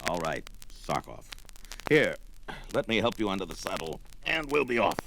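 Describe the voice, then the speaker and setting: A bold barbarian adventurer with a very low voice. His delivery is hearty and blunt, rising and falling widely in the manner of a fantasy record drama. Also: very animated, normal speed, gravelly, background noise, full character voice. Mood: resolved. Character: bold young barbarian warrior, wary, gruff and mercenary. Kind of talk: 1970s fantasy audio drama acting.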